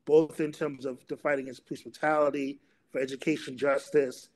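A middle-aged man moderating a panel and introducing its topic and speakers, in a halting delivery that often repeats words. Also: medium pitch, little pitch movement, a little mellow, slight background noise. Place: remote video call.

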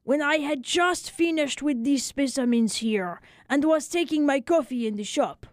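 A woman voicing a small old Frenchman, a weary, talkative barber of wry philosophy, exclaiming and lamenting in drawn-out phrases for a storybook reading. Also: sits high, no room sound, clean studio sound, pitched above the performer's own voice, close to an everyday voice. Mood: chatty, wistful.

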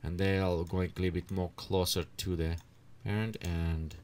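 A man with leading a software tutorial at his computer, thinking aloud as he adjusts settings. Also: low-pitched, level tone. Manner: unhurried, thinks aloud while clicking through settings. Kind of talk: screen-recorded software tutorial.

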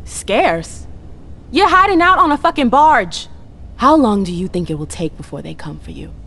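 A woman's voice: medium pitch, animated, moderate pace, creaky, bright, forceful tone, slightly rough, exaggerated voice. An actress playing a determined, persuasive female vampire in a video game cutscene, assertive and blunt. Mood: urgent.

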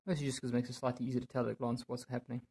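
Gravelly, mellow man thinking aloud. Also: medium pitch, flat delivery, very fast. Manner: muttering, thinking aloud while working. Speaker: DIY video maker. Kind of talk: casual hands-on tutorial commentary. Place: indoors in a home room.

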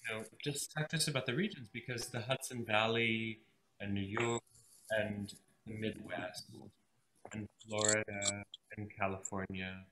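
A man hosting an online event, chatty and effusive, rambling warmly to guests and viewers over a video call. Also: lowish voice, fairly even intonation, measured pace, background noise. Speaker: event host. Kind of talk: enthusiastic live event hosting.

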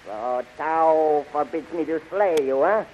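An actor playing a cruel, imperious alien emperor in a pompous, commanding manner in a 1930s radio serial. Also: male voice, fairly high pitch, slow pace. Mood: menacing. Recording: dull, hissy 1930s radio recording.

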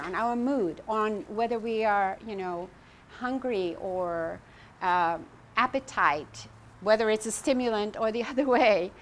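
A woman's voice: medium pitch, a bit bright, slight background noise. A woman in her fifties, originally from Croatia, giving an informal wellness lecture with many fillers and restarts, gesturing as she speaks. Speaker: foundation president giving a lecture. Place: indoor pavilion room in a park.

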